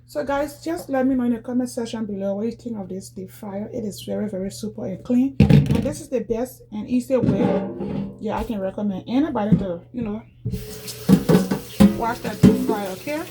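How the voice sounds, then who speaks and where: A woman's voice: a little high, normal speed, poor audio. A woman chatting casually in a home how-to vlog, repeating herself and calling her viewers guys. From Liberia. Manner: chatty and repetitive, often addresses viewers as guys. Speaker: YouTuber demonstrating cleaning. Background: background music.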